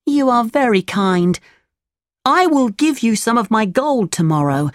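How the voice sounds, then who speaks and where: A woman voicing a naive, earnest, excitable puppet boy in a children's storybook. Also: a little high, unhurried, dry recording, clean studio sound, pitched above the performer's own voice. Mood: eager, grateful.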